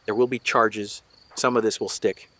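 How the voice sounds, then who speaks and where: An actor playing a guarded, tired small-town police officer in a noir audio drama, clipped and matter-of-fact. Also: male voice, fairly low pitch, little pitch movement, moderate pace, a bit bright, natural voice. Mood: resigned.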